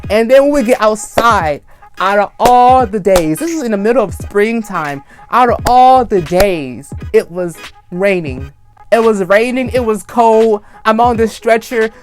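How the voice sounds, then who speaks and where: A young man with a high-pitched voice telling a dramatic personal story, acting out screams and quoting people as he goes. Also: measured pace, soft background music. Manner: animated, acts out screams and quotes. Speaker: storytime vlogger. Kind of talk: casual storytime.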